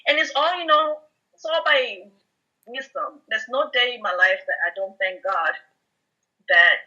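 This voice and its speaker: A woman's voice: a little high, a little slow, pushed and bright, dull audio. A Belgian woman whose first language is Dutch, a guest in a casual interview chat, talking emphatically and saying "you know" often.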